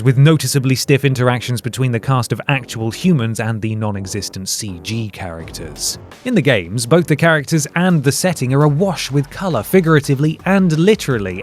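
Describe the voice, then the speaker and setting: Sardonic man narrating a review. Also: medium pitch. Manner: dry, sardonic. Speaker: video game critic narrator. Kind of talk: scripted video essay narration. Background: faint background music.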